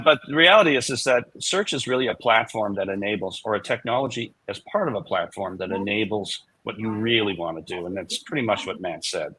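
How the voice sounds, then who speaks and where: A man's voice: on the low side, bright, forceful tone, slightly rough, low-quality recording. A man speaking as a chief executive in a business interview, candid and informal with a wry touch.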